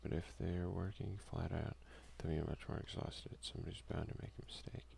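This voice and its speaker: A man giving soft, hushed ASMR commentary as he plays a video game. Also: low-pitched, steady tone, brisk, gravelly, slight background noise. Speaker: let's play streamer.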